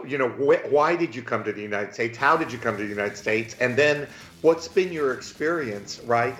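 A man's voice: medium pitch, fairly bright tone. A man hosting an interview show, enthusiastic and effusive, often tagging his sentences with "right?". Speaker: talk show host. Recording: dull lo-fi audio in an echoey room.

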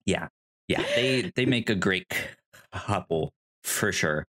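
A man co-hosting a podcast in a casual film discussion, speaking haltingly and often repeating words as he works toward his point. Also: sits low, expressive, a bit bright. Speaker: podcast co-host.

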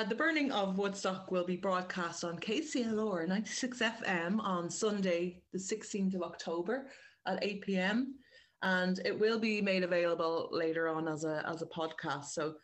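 Informal Irish woman, mid-pitched voice. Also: moderate pace. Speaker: writer and director, interview guest. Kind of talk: casual interview chat.

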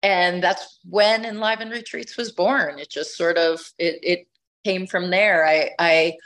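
A middle-aged woman with a lowish voice tells her story at an easy conversational pace. She is reflective and restarts her phrases while she gathers her thoughts. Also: steady tone, unhurried, fairly bright tone. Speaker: podcast guest, retreat leader.

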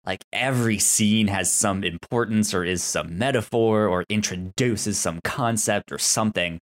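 A man co-hosting a podcast, chatting casually and enthusiastically about television in a halting way, with stuttered sounds and syllables. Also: on the low side. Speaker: podcast co-host. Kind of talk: casual TV show discussion.

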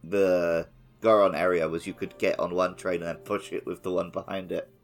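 A man's voice: low-pitched, level tone, moderate pace, faint music behind. A man co-hosting a casual game podcast, explaining game mechanics thoughtfully. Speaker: podcast co-host. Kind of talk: casual chat.

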